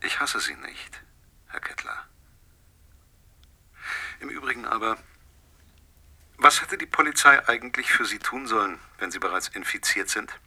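Sharp, raspy, menacing male caller. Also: on the low side, animated, a little slow, long pauses, exaggerated voice. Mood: menacing calm. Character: menacing, vengeful husband, coldly controlled anonymous phone caller. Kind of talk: radio drama acting.

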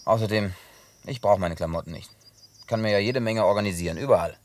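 An actor dubbing a man who tells a long story in a laid-back way with gruff humor. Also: lowish voice, quick pace, a little mellow, dry recording, close to an everyday voice. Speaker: lead character in a dubbed film. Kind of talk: dubbed film acting.

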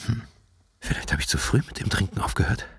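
An actor playing a brooding, bitter writer and recovering alcoholic in a German radio play, speaking in an introspective, confessional way with a gravelly, often hushed voice. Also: male voice, quick pace, very noisy, close to an everyday voice. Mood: wistful, bitter.

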